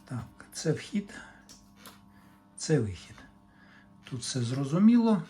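A man's voice: mid-range voice, sing-song, slow, warm tone, faint music behind. A man giving an unscripted, matter-of-fact technical demonstration, talking in short fragments as he works. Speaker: hobbyist repair technician presenting a demonstration.

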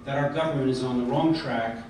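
A middle-aged American man giving an earnest prepared speech at a podium, often pausing on fillers and restarts. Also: mid-range voice, a little mellow. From United States. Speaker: convention speaker. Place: large hotel conference hall, indoors. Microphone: podium mic, filmed by a far camera. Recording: echoey hall from far off, background noise.

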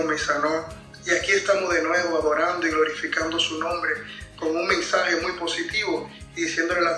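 Dominican male interview guest, higher-pitched and very bright, roomy video-call sound. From Dominican Republic. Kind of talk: interview testimony. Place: indoors at home, on a video call. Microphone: tablet video call.

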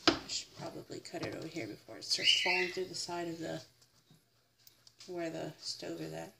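A woman's voice: sits low, little pitch movement, normal speed, creaky. A young American woman chattily talking through a casual cooking demonstration, step by step, in a home kitchen. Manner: chatty, informal, narrating each step. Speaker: home cook, vlogger. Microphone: fixed camera on the counter. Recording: very noisy home kitchen recording with clatter. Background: kitchen clatter, people in the background.

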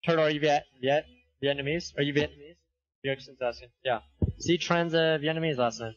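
A man heard over voice chat, his voice a touch high and slightly muffled and dry. He reacts excitably to the game, bursting out and laughing with his friend. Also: a little fast, dry recording. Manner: excitable, loud outbursts, laughs. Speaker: teammate on voice chat. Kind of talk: casual gaming chat.

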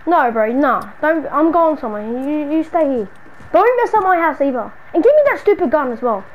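A woman's voice: high-pitched, sing-song, normal speed, a little hiss or hum, poor audio. A woman playing an exasperated, slangy city dweller hounded by a stalker friend in an improvised video game roleplay. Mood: exasperated scolding. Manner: slangy, muttering to self, then scolding.

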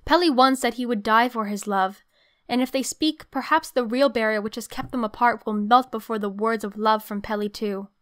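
An actress playing a pious, earnest grand duchess, reading a letter aloud in a pleading manner for an audio drama. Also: female voice, on the high side, normal speed, clean tone, lightly acted. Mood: pleading, hopeful. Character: earnest grand duchess aunt, pious, urging young lovers on.

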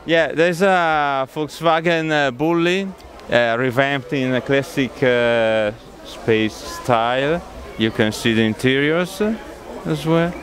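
A man with an Italian accent talks at a slow pace in a medium-pitched voice. He explains things casually, feeling for his words in hesitant phrases. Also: a bit bright. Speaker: exhibitor, hobby model builder. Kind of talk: casual on-camera explanation of a display. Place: large busy exhibition sports hall. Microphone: handheld interview microphone.

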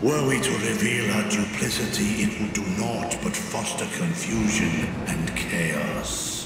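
An actor playing a proud, stern ancient dragon in a video game, speaking in formal archaic phrases with booming reverb. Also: male voice. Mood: stern, commanding. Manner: archaic, formal, measured speech. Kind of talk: video game cutscene acting. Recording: cavernous reverb, distant, loud game music.

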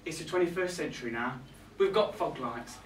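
A man's voice: fairly high pitch, a little fast, far from the mic, lightly acted. A young man playing a catty, resentful reindeer in a comic monologue, indignant and gossipy, with arch asides to a live audience. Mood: sarcastic, gossipy. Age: young adult. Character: catty, resentful male reindeer grumbling about Santa's crew. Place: small pub room with a live audience. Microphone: far camera. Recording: echoey room from afar, background noise.

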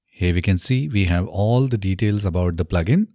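A man in his thirties narrating a software tutorial step by step, in an instructional way, close to the microphone. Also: sits low, normal speed, no echo. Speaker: tutorial presenter. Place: small indoor home studio. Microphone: close desk microphone on a boom arm.

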